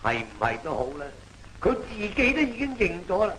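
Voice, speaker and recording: An actor with a Cantonese accent playing an earnest, righteous official in an old opera film, with drawn-out, half-sung theatrical phrasing. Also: male voice, high-pitched, big pitch swings, slight background noise, poor audio. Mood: troubled disbelief. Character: earnest, righteous male official doubting an unjust verdict. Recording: old film soundtrack, loud opera music.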